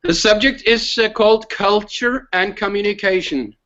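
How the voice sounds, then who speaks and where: A man's voice: high-pitched, moderate pace, bright, forceful tone, highs cut off. A man with a Norwegian accent, a teacher talking informally and politely on a video call, with some hesitation.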